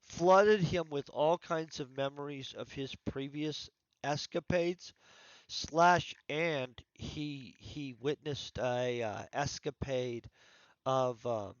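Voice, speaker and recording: A man's voice: mid-range voice, takes it slowly, clean tone, no room sound, muffled recording. Congested man rambling on a livestream. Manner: rambling, thinking aloud with restarts. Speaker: author streaming his writing session. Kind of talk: casual livestream chat.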